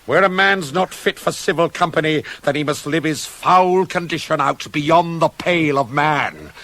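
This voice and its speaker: A man's voice: on the high side, lively intonation, moderate pace, pushed and bright. An actor playing a wrathful, bitter older king and father, scornful, imperious and declamatory, in a classical tragedy for radio. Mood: furious, commanding.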